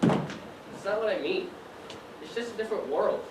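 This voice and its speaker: A man's voice: sits high, expressive, moderate pace, recorded from a distance. A teenage male student acting as a dreamy, determined young factory worker in a stage play, with earnest, projected delivery. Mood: dreamy, hopeful. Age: teens. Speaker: student actor. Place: stage in a large room, distant mic. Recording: roomy, noisy stage recording from afar.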